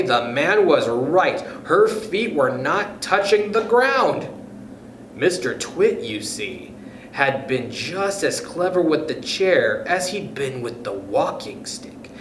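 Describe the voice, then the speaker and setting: A man reading a children's story aloud in an expressive narrating style. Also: fairly high pitch. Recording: echoey room with faint noise.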